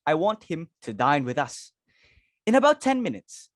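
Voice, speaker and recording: A woman performs a social-climbing mother and hostess in a stage play. She is fussy and imperious, forever exclaiming. Her pitch leaps about in a very dry recording. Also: sing-song, moderate pace, dry recording. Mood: flustered. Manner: exclamatory, fretful, commanding.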